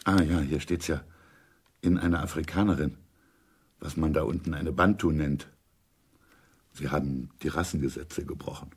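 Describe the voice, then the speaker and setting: Very deep, gravelly intelligence chief. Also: male voice, normal speed, pauses often, darkish tone, heavily acted. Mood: matter-of-fact, probing. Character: senior intelligence chief, urbane, matter-of-fact, authoritative older man. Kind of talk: German radio drama acting.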